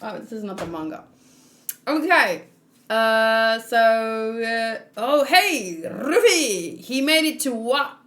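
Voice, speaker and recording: A woman hosting a read-along in a casual, expressive and giggly reaction style. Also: on the high side, slow pace. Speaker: host of a manga read-along.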